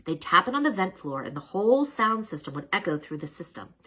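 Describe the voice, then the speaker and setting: A woman narrates a children's storybook as a read-aloud. Her voice sits a little low but is very lively in pitch. It is forceful and somewhat husky. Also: fairly quick. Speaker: storybook reader.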